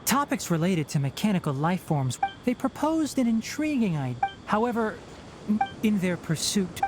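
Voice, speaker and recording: A man's voice: high voice, sing-song. A man voicing a young forest ranger in a video game, knowledgeable and careful, with an instructive, dryly teasing manner. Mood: serious, cautious. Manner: instructive, measured, dry. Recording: music behind voice.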